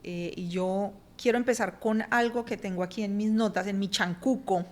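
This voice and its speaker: A woman hosts an interview podcast at a mid-range pitch. She speaks conversationally, encouraging her guest and asking questions. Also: steady tone, moderate pace, darkish tone. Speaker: podcast host.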